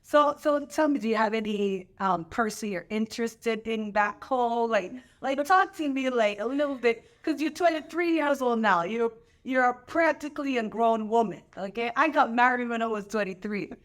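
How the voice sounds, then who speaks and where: Animated woman pastor giving advice. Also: fairly high pitch, normal speed, hardly any pauses. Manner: lively, coaching, repeats phrases for emphasis; preacherly scripture quoting. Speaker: pastor and show host. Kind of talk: casual advice chat.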